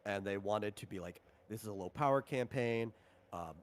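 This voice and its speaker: Man, lowish voice, game designer walking a player through tabletop rules, informal and explanatory. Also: normal speed. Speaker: game designer running a tabletop RPG session.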